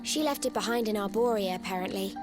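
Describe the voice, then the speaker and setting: A woman's voice: medium pitch, quick pace, close to an everyday voice. An actress voicing a blunt, confident little girl mage in a video game. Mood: explaining. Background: faint game music.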